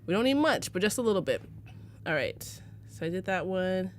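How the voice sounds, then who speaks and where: A woman's voice: on the low side, sing-song, moderate pace, a little hiss or hum. A young American woman from Arizona giving a casual live photo-editing tutorial, chatty and full of fillers, laughing easily. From United States (Arizona). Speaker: livestream presenter teaching photo editing.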